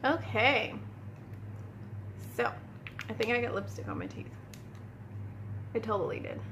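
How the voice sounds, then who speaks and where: Woman vlogger chatting thru her makeup routine, kinda high voice, a bit sniffly, faint room noise. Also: big pitch swings, unhurried. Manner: chatty, talks while applying makeup, addresses viewers as "you guys". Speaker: beauty vlogger. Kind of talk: casual makeup tutorial talk. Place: indoor room at home.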